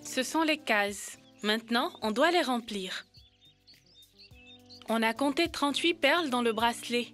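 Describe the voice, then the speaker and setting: High-voiced eager cartoon girl. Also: lively intonation, measured pace, a bit bright, faint music behind. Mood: concentrating. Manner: explains step by step. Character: Kibena, eager young girl learning to count. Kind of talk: French-dubbed educational children's cartoon.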